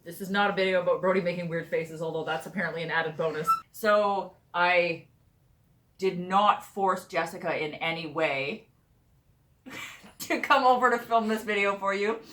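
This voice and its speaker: A woman's voice: on the low side, normal speed, a bit bright. A woman vlogger chatting casually in a joking, sarcastic way, swearing often, with a slightly crackly voice. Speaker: YouTube vlogger. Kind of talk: casual blooper vlog chat.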